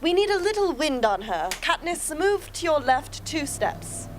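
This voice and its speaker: A woman playing a crisp, directive film crew leader in an amateur radio drama. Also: sits high, very animated, unhurried, slight background noise. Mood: directing.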